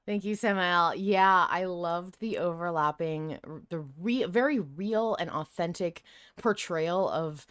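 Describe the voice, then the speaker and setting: Женщина с низковатым голосом, сухая запись. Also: female voice, lowish voice, a little slow, fairly bright tone, clear, resonant tone, no echo. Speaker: podcast host. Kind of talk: casual discussion of a fiction podcast.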